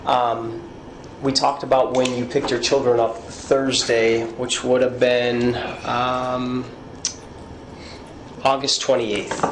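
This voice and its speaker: An American man questioning a suspect as a police interrogator, with procedural, halting questions. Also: mid-range voice, little pitch movement. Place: indoor police interview room. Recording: echoey, noisy interrogation room audio.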